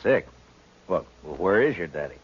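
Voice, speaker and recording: An actor playing a calm, dry-humored, laconic US marshal in a 1950s radio western. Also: male voice, low voice, measured pace, slightly rough, full character voice. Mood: kindly, concerned. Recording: muffled vintage radio recording with music.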